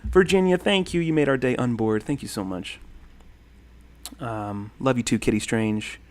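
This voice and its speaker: A man's voice: medium pitch, normal speed. A man in his thirties hosting a casual livestream, rambling and thanking viewers as he reads their comments. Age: 30s. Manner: rambling, friendly, many ums and thank-yous. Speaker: livestream host.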